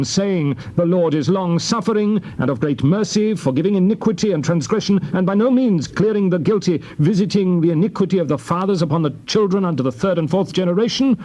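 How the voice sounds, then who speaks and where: Man acting high-voiced, pleading prophet. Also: a little mellow, close to an everyday voice. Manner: reverent, measured, imploring. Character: earnest prophet leader pleading with God. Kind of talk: dramatized scripture audio drama.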